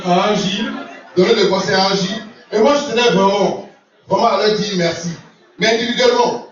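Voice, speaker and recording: A man giving a jovial, laughing speech as sponsor at a community gala, often repeating himself. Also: sits high. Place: large event hall. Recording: roomy, distant, lo-fi recording with crowd. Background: crowd of guests.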